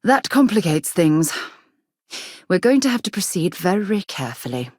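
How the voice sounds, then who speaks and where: Husky, earnest space explorer. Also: female voice, on the low side, big pitch swings, moderate pace, darkish tone, no echo, clean studio sound. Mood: thoughtful, composed. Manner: articulate, formal phrasing. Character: earnest, inquisitive, principled woman space explorer. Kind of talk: scripted video game dialogue.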